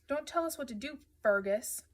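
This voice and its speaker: A woman's voice: mid-range voice, animated, moderate pace, natural voice. A woman voicing a joking, easily riled adolescent boy in an audiobook story, cheeky and blunt. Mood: annoyed.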